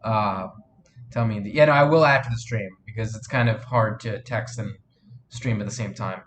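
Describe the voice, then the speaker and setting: A man's voice: fairly low pitch, moderate pace, a bit bright, a little hiss or hum. Rambling, sniffly man streaming live. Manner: rambling, hesitant, full of fillers and restarts; reads and answers viewer chat. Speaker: live streamer and fan film maker. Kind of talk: casual live stream chat.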